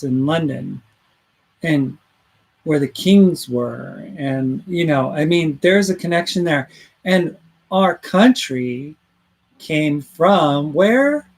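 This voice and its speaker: A man's voice: fairly high pitch, creaky. A man hosting a talk radio show in casual chat, rambling through his points and reading listener comments aloud. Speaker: host.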